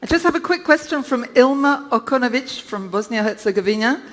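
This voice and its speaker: A woman moderating a live Q&A, briskly passing the floor and reading out submitted questions. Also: fairly high pitch, animated. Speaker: event moderator. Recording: long reverb of a large hall.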